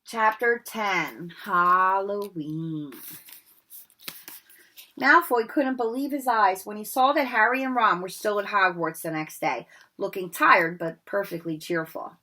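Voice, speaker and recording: A woman reading a children's novel aloud in an expressive storybook manner, with occasional slips and rereads. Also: mid-range voice, measured pace. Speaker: read-aloud narrator.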